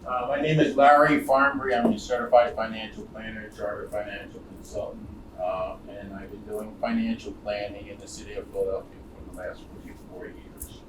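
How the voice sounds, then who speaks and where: Older low-voiced man, echoey noisy room. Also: little pitch movement, fairly quick, far from the mic. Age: 60s or older. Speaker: financial planner. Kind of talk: self-introduction at an event.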